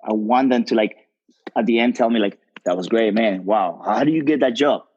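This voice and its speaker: A man's voice: medium pitch, a little fast, darkish tone, breathy edge. A man speaks earnestly on a panel, circling his point with lots of "you know" and "like". His voice sits in a middle register, and the call audio is dull and lo-fi. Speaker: rum brand ambassador. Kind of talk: panel discussion.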